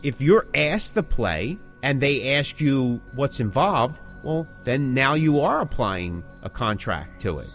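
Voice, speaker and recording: A man's voice: medium pitch, big pitch swings, takes it slowly, hardly any pauses, fairly bright tone, soft background music. A man co-hosting a podcast, explaining things in a casual, emphatic, conversational way. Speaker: podcast co-host and working band musician.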